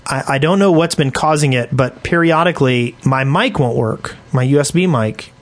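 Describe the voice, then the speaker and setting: A man hosting a gaming podcast, telling stories casually in an animated, enthusiastic way with slangy phrasing. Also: medium pitch, normal speed. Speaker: podcast host.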